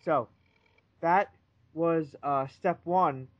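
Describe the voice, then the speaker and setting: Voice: medium pitch, extremely slow, highs cut off, slight background noise. A video co-host in casual, joking chat, rambling through mock explanations.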